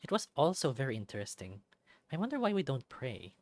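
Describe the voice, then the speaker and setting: A woman's voice: a bass voice, very animated, a little fast, a little mellow, dry recording. A woman reading a visual novel aloud as a let's-play narrator, with casual asides. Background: music.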